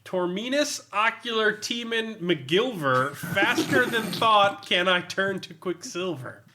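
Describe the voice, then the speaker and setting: A man's voice: high-pitched, expressive, takes it slowly, background noise. A man in casual podcast chat, reading out bits of a game book with sardonic, sweary commentary. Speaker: podcast co-host reviewing a role-playing game book.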